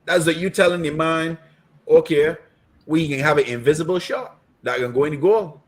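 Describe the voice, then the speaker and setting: A man's voice: a little high, normal speed. A man co-hosting a casual football reaction chat, arguing his point and repeating it. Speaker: football fan show co-host.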